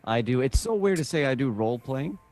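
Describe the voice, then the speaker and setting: A man's voice: mid-range voice, expressive, a little fast, darkish tone, soft background music. A man who streams games, chatting casually as a player. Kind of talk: casual chat.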